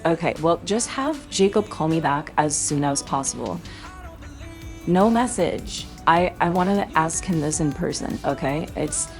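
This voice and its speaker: A protective mom acted by a woman with a somewhat low voice. She is assertive and composed, explaining things reassuringly in a roleplay drama. Music plays behind her. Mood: firm, insistent. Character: firm, protective, reassuring model mother.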